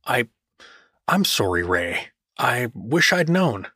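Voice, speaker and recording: A man's voice: medium pitch, very animated, slow pace, bright, forceful tone, breathy edge, no echo, studio quality, exaggerated voice. A man playing a well-meaning but clueless dad turned adventurer in a fantasy audio drama, hesitant and apologetic. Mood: apologetic, awkward.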